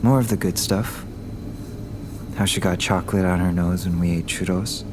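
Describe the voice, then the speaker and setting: A heartbroken young man, played by an actor, talks in a lowish, wistful voice. Dry jokes slip through the hurt. A steady noise hums behind this audio drama scene. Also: normal speed, close to an everyday voice. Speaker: young man after a breakup.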